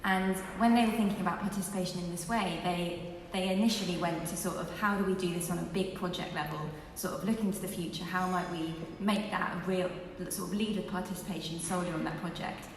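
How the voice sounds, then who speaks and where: A woman co-presenting at a launch event, speaking to an audience in a measured way with fillers. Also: lowish voice, fairly even intonation, slight background noise. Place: large museum hall. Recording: echoey hall from far off.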